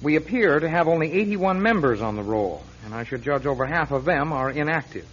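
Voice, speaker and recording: Earnest, husky young priest. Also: male voice, a little high, animated, brisk. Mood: concerned. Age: young adult. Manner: polite, awkward, earnest. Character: earnest, polite, eager young assistant priest. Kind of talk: radio drama acting. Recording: muffled radio recording.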